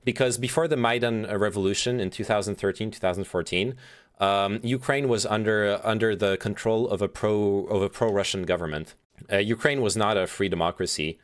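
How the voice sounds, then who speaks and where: A man's voice: lowish voice, a little fast. A young man streaming, chatting about politics with many fillers and restarts, swearing freely as he reads and answers chat. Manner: reads chat messages aloud and reacts.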